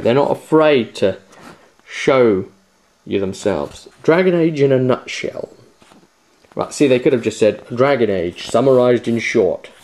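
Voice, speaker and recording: A man with a medium-pitched voice talks casually to viewers as a video host. He is enthusiastic and chatty, leaning on key words as he walks through a product review. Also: darkish tone. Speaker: host of a tabletop gaming video series.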